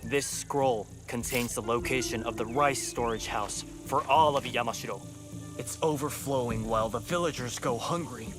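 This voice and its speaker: A man's voice: medium pitch, moderate pace, close to an everyday voice. A man playing a cocky, flirtatious thief with a crusading streak for poor farmers in a video game cutscene, brash and teasing. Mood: indignant. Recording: music behind the voice.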